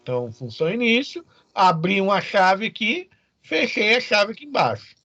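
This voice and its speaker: A man's voice: high voice, very animated, normal speed, sharp tone, no echo, lo-fi sound. A Brazilian man giving an informal, didactic lecture as a programming teacher, often checking in with short questions to his students.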